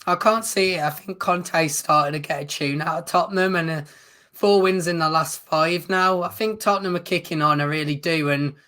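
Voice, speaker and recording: A man who has lost his voice, chatting casually as a football show co-host in an agreeable, measured way. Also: on the high side, bright, forceful tone. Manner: measured, agreeable, uses fillers like "um" and "you know". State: losing his voice.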